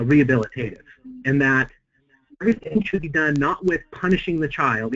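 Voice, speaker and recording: A man speaking at a middle pitch, slightly hoarse from a cold, presents on a webinar. He talks in a halting way, with frequent fillers and restarts. The audio is a little dull and muffled. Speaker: webinar presenter, center president.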